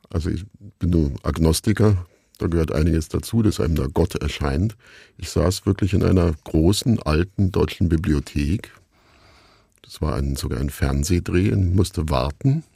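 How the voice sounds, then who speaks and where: Deep, gravelly middle-aged man, musing. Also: mellow, dry recording, studio quality. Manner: musing, halting, self-deprecating. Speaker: interview guest, radio drama maker. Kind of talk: reflective conversational storytelling in an interview.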